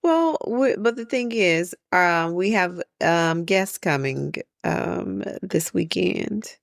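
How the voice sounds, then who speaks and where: A woman's voice: on the low side, lively intonation, slow, gravelly, no echo, studio quality. An American woman with a folksy, country-sounding way of talking, bantering casually as a podcast co-host with her husband.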